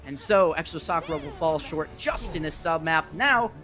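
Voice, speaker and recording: A man's voice: a little high, animated, normal speed, pushed and bright, soft background music. A man giving live play-by-play commentary on an esports match, with a playful, wry way of talking and plenty of quips.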